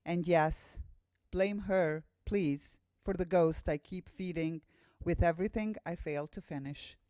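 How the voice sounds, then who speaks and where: A woman's voice: fairly low pitch, unhurried, warm tone, no room sound, clean studio sound. A woman with an Albanian accent, a native Albanian speaker, giving a public talk and poetry reading as a guest poet. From Kosovo. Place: room with a live audience.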